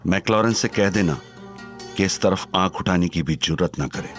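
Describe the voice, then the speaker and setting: An actor playing a stern, menacing crime boss in an audio drama, deep and commanding. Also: male voice, moderate pace, a bit bright, faint music behind. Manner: stern, threatening, scornful. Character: menacing Chinese crime boss, older man.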